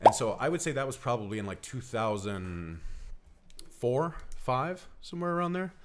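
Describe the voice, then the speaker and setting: A man's voice: mid-range voice, lively intonation, normal speed, heavy background noise. A man telling a personal story casually on a podcast, earnest and emphatic in the way he describes things. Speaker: podcast co-host.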